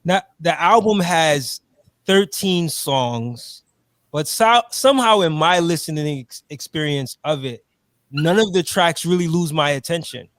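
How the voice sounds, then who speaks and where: Higher-voiced interviewer, dry close sound. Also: male voice, lively intonation, a bit bright, dry recording. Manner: measured, affirming questions with short backchannels. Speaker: interviewer host. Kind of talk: interview questions.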